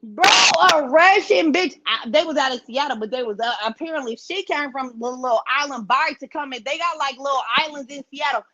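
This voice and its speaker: A woman with a high voice tells a story in loose, profane podcast chat. She is animated and acts out the people she quotes. The audio sounds a little dull and lo-fi. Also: fairly quick, few pauses. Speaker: guest.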